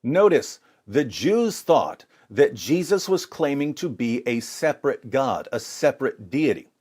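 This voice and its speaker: Animated man delivering dramatic religious commentary. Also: mid-range voice, a little slow, breathy edge. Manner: dramatic, rhetorical, sarcastic mock alarm. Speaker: apologetics presenter. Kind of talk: scripted religious commentary with scripture reading.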